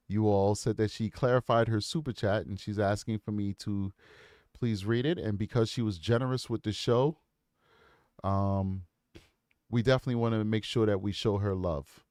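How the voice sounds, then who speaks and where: A man hosting a true crime livestream, reading super chats aloud and thanking viewers in an upbeat, repetitive way with lots of ums. His voice is hoarse and worn out. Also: fairly low pitch, fairly even intonation, unhurried, a little mellow, no echo, clean studio sound. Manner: upbeat, repeats himself, frequent ums.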